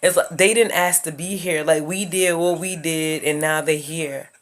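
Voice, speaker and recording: Emphatic low-voiced woman giving advice. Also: steady tone, moderate pace. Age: 30s. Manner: emphatic, preachy, repeats points to the viewer. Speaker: YouTuber giving life advice. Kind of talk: casual advice monologue.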